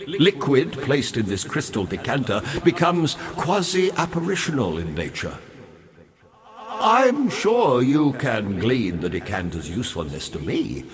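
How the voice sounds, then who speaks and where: A man's voice: medium pitch, big pitch swings, a little slow, a crowd in the background. An actor playing a grandiose, roguish undead sea captain in a video game, florid and pompous, wry and morbidly cheerful as he savors his words. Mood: sly, wry. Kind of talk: theatrical video game character dialogue.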